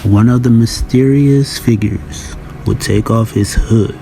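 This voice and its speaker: A man's voice: medium pitch, slow pace, gravelly, low-quality recording. A man narrating a scripted science-fiction story for an audio drama. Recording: very loud music bed over narration.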